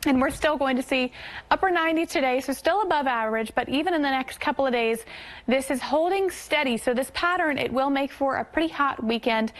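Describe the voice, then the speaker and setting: High-pitched woman forecasting TV weather.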